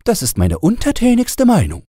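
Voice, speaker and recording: Man acting a servile, raised-pitch royal councillor. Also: wildly swooping pitch, warm tone, breathy edge, no echo, clean studio sound, exaggerated voice. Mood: earnest, servile. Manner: deferential, deliberate, formal. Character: elderly fawning royal privy councillor, pedantic and servile. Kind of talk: fairy-tale audiobook character voice.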